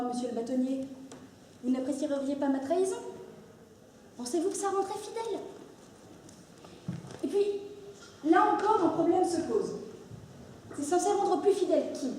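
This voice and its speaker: A woman's voice: sits high, dark tone. A woman giving a rehearsed, theatrical speech to a jury as a contestant in an eloquence competition, playing up puzzlement and rhetorical questions. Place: large reverberant indoor hall. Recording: echoey hall, distant mic, faint noise.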